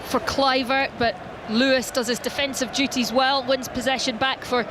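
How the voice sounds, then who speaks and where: A woman giving play-by-play commentary on a live football match, rising to shouts when the ball reaches the goalmouth. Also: high voice, fairly even intonation, moderate pace. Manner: rises to shouts at goalmouth action. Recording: noisy background.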